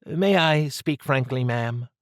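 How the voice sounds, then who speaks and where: Guy doing a hesitant, polite underling in an audiobook, voice pitched up from his own. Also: slow, no echo, clean studio sound, close to an everyday voice. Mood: uneasy. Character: cautious, deferential subordinate agent reporting to the boss. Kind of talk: dramatised audiobook dialogue.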